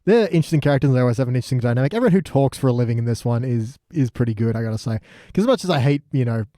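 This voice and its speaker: A man co-hosting a podcast, chatting casually about a show and retelling it in an animated, loose way. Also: medium pitch, very fast, no room sound, studio quality. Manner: animated retelling with mocking paraphrased dialogue and swearing. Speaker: podcast co-host. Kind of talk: casual review chat.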